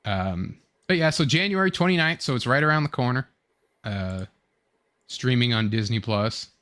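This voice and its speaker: A young adult man hosting a casual online fan discussion, talking in a rambling, halting way with fragmented phrasing. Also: mid-range voice, lively intonation, fairly bright tone. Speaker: online show host. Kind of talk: casual fan commentary, reading chat comments.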